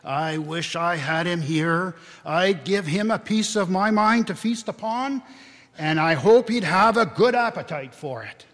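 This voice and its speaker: A man voicing an indignant, sharp-tongued wife of a poor clerk in a storybook reading, scornful and emphatic. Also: high-pitched, measured pace. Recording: church hall reverb.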